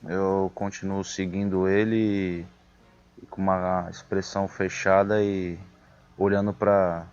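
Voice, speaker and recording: A man's voice: low voice, level tone, slow, darkish tone. A man casually describing his character's actions as a player in a role-playing game, with dull lo-fi sound.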